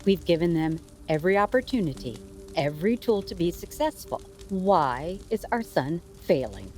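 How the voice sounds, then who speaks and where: Demanding, low-voiced mother. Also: big pitch swings, moderate pace, darkish tone, soft background music. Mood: frustrated, insistent. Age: middle-aged. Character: demanding, ambitious mother and wife. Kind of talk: audio drama dialogue.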